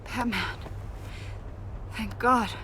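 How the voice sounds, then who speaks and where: An earnest female agent from a video game. Her voice is high, anxious and urgent, with slight background noise. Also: fairly even intonation, drawn-out words, pushed and bright, full character voice. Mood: shaken, relieved. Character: anxious, brave female government agent in peril.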